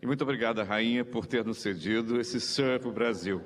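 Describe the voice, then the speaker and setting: A man's voice: mid-range voice, normal speed. A man with a Brazilian accent works as a live interviewer, speaking in an effusive, ceremonious way. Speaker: interviewer, presenter. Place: large reverberant hall. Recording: echoey hall with background noise.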